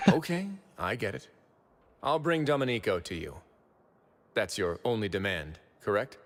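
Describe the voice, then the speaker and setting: An actor playing a shrewd, composed prison duke in an anime video game, sardonic, unhurried and self-assured. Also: male voice, fairly low pitch, animated. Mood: amused.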